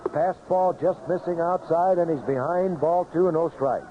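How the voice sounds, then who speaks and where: A man's voice: on the high side, moderate pace, muffled recording, slight background noise. A man calling baseball play-by-play live on a vintage radio broadcast. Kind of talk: live radio sports commentary. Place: outdoor ballpark broadcast booth.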